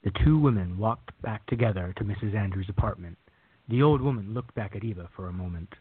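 Male narrator for audio drama, fairly low and rough-edged, scripted storytelling, dull recorded sound. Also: brisk.

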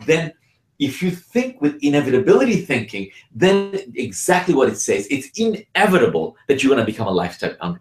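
A man from Bangladesh giving an energetic, persuasive sales pitch for his real estate program. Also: reverberant. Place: Tampa, Florida.

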